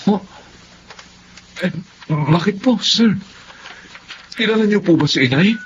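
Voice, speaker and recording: A man's voice: high-pitched, expressive, slow pace, dull audio. An actor playing a modest, respectful young male employee in a Filipino radio drama, deferential in manner. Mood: polite, hesitant.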